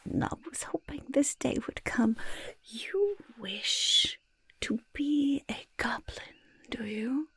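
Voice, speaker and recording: A woman voicing a boastful, eager goblin in a soft-spoken ASMR roleplay, with a raspy half-whisper and fussy, repetitive phrasing. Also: sits high, animated, takes it slowly, no room sound, full character voice. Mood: delighted, boastful. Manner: speaks of self in third person, fussy, emphatic repetition. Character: boastful, eager goblin potion-peddler of playful temper.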